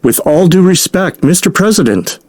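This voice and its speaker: Earnest American presidential aide, close and dry. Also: male voice, sits high, sing-song, normal speed, a little mellow, no echo. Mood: concerned. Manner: formal, earnest. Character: deferential, urgent male presidential aide. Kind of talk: dramatic audio drama acting.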